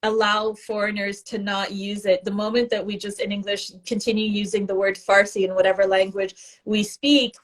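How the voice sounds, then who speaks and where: A woman journalist delivering news updates on a live stream. She speaks earnestly and with passion, stressing her points. Her voice is mid-pitched, in the ordinary range for a woman. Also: level tone, normal speed.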